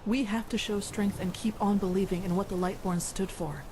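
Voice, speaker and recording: Woman voicing gentle fantasy novice, devout, earnest, medium-pitched female voice, clear ambient noise behind. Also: steady tone, moderate pace, lightly acted. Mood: earnest, pleading. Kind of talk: fantasy game voice acting.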